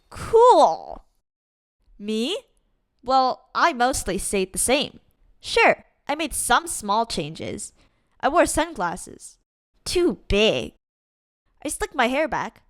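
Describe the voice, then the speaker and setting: A woman reading a children's picture book aloud as a storyteller, in an expressive, deliberate and dramatic way. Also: on the high side, no echo, studio quality. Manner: deliberate, dramatic delivery with acted-out exclamations. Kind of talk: expressive read-aloud storytime narration for kids.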